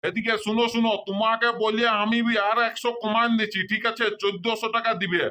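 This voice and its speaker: A performer plays an old grandfatherly cartoon street seller with a bright, forceful voice. The delivery is an insistent, repetitive hawker's pitch. The accent is rural Purulia Bengali. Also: steady tone, brisk, natural voice. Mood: bargaining. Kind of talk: comedy cartoon dialogue.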